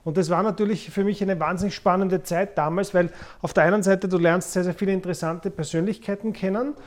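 A man's voice: sits high, steady tone, quick pace. A man in his forties with a Viennese Austrian accent hosts a podcast in an unscripted, explanatory way, repeating words for emphasis. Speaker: podcast host, former investment banker. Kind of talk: unscripted podcast talk about money.

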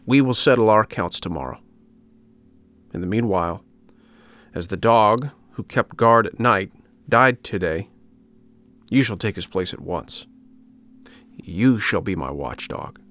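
A man's voice: lowish voice, animated, unhurried, long pauses, a bit bright. A man voicing an angry storybook peasant farmer, stern and commanding, with a threatening way of talking.

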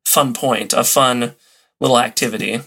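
A man's voice: mid-range voice, fairly even intonation, normal speed, creaky, pushed and bright, husky. A man chatting casually and enthusiastically as a podcast guest in an interview.

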